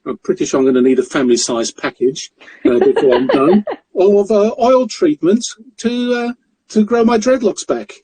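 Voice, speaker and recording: A middle-aged man from Mozambique, a podcast guest telling stories in a relaxed, self-deprecating way with frequent chuckles. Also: high-pitched, moderate pace, slightly rough, no echo, rough recording. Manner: jokey, self-deprecating storyteller who laughs often. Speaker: guest, currency broker. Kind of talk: casual interview chat.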